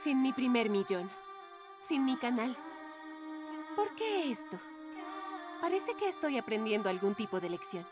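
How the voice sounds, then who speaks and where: A woman's voice: a little high, big pitch swings, measured pace, long pauses, over music. A woman playing a vain, dramatic young model and internet diva in a cartoon, whiny and self-absorbed. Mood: reflective, smug. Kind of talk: exaggerated cartoon dubbing.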